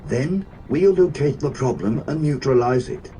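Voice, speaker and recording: A man's voice: mid-range voice, lively intonation, unhurried, natural voice. A man playing a cool, aloof elite soldier commander in a fan dub, terse and commanding. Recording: loud music over the voice. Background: loud game music.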